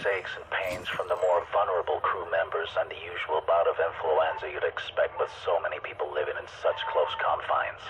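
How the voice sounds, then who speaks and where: Low-voiced ship's physician, muffled log. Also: male voice. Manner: formal, measured, clinical. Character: starship physician recording grave official medical logs. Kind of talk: scripted in-game audio log. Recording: muffled radio-like log, clearly noisy.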